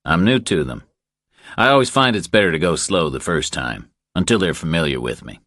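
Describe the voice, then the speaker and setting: A man playing a very deep, laconic drifter hero. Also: animated, brisk, fairly bright tone, no room sound, clean studio sound. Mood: dry, guarded. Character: laconic, wary male drifter, hero of a thriller.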